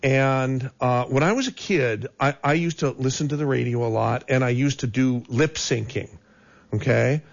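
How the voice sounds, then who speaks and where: A man's voice: medium pitch, normal speed, vocal fry, dry recording. A man hosting a radio show who chats casually, rambles and interrupts himself, with a somewhat scratchy voice. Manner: rambling, self-interrupting, chatty. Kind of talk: casual on-air banter. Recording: dull lo-fi radio broadcast, faint music.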